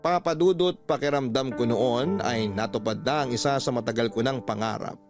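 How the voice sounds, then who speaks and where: A man narrating a scripted first-person story as a radio drama host, measured and sentimental. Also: medium pitch. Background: faint instrumental music.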